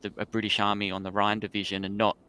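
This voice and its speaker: A man's voice: on the low side, monotone, quick pace, sharp tone, clean tone. A man on a livestream panel, casually explaining his point in conversation.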